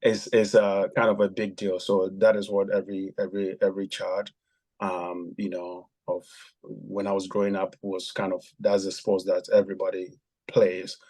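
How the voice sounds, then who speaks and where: A man from Ghana, a podcast guest telling his story with many pauses and ums. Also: deep voice, completely flat delivery, darkish tone, poor audio. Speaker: guest, former javelin athlete.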